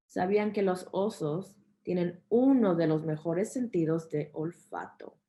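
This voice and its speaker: A woman's voice: on the low side, a little slow, mellow, rough recording. A woman interpreting a children's program, relaying instructions and fun facts to families and kids.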